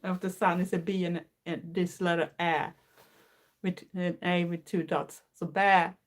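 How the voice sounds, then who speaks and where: A woman's voice: on the low side, little pitch movement, a little slow. Swedish woman chatting earnestly in English. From Sweden. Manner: chatty, earnest, with small grammar slips. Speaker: livestream host. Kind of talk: casual chat.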